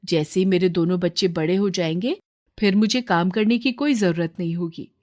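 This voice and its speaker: A woman voicing a humble poor mother who works as a maid, gentle, earnest and deferential, in a story. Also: on the low side, moderate pace, lightly acted. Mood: tender, reassuring.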